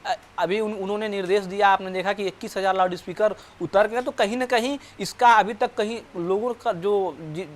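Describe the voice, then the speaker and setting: पुरुष समाचार रिपोर्टर, अटक-अटक कर बोलता हुआ. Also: male voice, high-pitched, fairly even intonation. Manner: halting, repeats and corrects words. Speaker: news reporter. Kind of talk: live news report, unscripted explaining.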